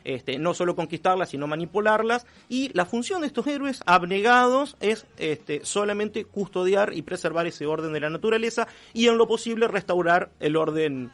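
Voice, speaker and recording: An Argentine man giving a conversational radio column on culture, reflective and halting as he searches for words. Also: sits high, animated, fairly bright tone.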